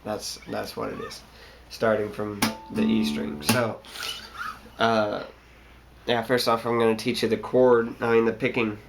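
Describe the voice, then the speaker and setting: A man's voice: on the low side, little pitch movement, a little slow, creaky. A young man with a slightly scratchy voice from a cold, hesitantly explaining a guitar part with many ums and restarts. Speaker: guitar teacher. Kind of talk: casual guitar tutorial. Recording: guitar playing throughout.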